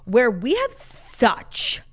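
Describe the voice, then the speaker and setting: A woman co-hosting a casual morning talk show, excitable and gushing, with casual swearing. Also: on the high side, extremely slow, breathy edge. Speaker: podcast co-host. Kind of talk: casual chat.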